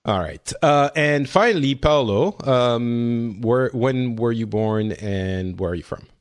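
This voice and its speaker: A man's voice: medium pitch, lively intonation, slow, smooth. Chatty French-raised man in his forties. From France. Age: 40s. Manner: chatty, loose and self-interrupting. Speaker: podcast host. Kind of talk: casual podcast discussion.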